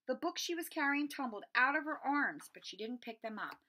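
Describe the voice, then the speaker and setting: Animated woman reading a storybook aloud. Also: high voice, fairly quick. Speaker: read-aloud narrator. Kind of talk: expressive children's storybook read-aloud.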